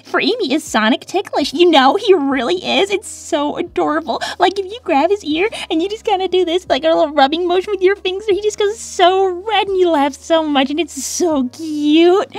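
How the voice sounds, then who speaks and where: A woman voicing a perky, lovestruck young hedgehog girl in a cartoon Q&A, gushing and easily flustered. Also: very high-pitched. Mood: giddy. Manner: gushing, quick to get flustered and defensive. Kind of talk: in-character cartoon Q&A.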